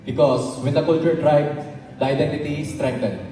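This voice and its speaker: A man's voice: on the high side, steady tone, normal speed. A man with a Filipino accent giving a rehearsed pageant speech as a contestant. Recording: echoey distant hall, clear background noise.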